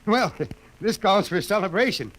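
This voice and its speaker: A man's voice: sits high, expressive, moderate pace, a bit bright, a little hiss or hum, low-quality recording. An actor playing a genial, folksy old father in a 1950s radio drama. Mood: jovial. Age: older.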